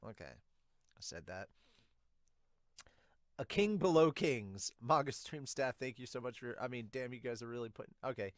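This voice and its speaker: Male podcast host, casual and blunt reviewer, mid-pitched voice, dry close recording. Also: lively intonation, normal speed, long pauses, no echo. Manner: blunt, mildly profane. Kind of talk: casual review and reading viewer comments aloud.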